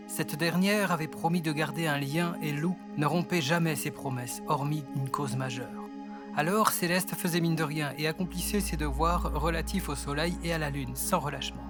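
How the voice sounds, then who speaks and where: A man narrating a scripted French fantasy audio drama as a storyteller. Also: fairly high pitch. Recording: loud music under the voice. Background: loud music.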